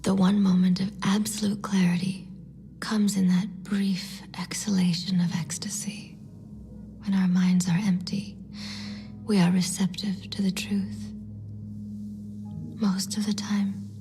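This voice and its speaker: A mysterious female guide in a video game who never raises her voice above a breathy whisper, played by a woman. She speaks slowly and flatly, in cryptic pronouncements, over clear background music. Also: mid-range voice, natural voice. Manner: slow, measured, cryptic pronouncements. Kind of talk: video game cutscene voice acting.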